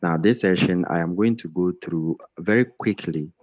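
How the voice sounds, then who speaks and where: Deep-voiced man hosting a video call. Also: moderate pace, a little mellow, no echo. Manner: repetitive, earnest, restarts phrases. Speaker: onboarding session host. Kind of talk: welcoming group presentation on video call. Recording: dull, dry video call audio.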